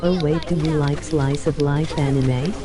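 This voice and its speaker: Female text-to-speech, very low pitch, reads brief chat lines, prominent music underneath. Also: fairly even intonation, measured pace. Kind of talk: synthetic read-aloud of short chat messages.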